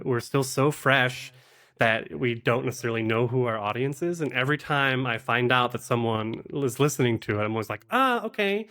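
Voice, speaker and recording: Mid-pitched man chatting as a podcast guest. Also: fairly bright tone. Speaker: podcast guest, actual play game master and producer. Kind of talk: casual interview chat giving advice.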